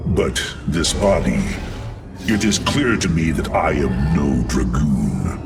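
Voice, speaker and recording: Very deep, gravelly alien machine warrior. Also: male voice, lively intonation, recorded from a distance, music in the background, exaggerated voice. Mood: troubled, solemn. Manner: formal, grave, deliberate. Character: deep-voiced robotic alien warrior, proud, troubled, seeking truth. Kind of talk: dramatic video game voice acting.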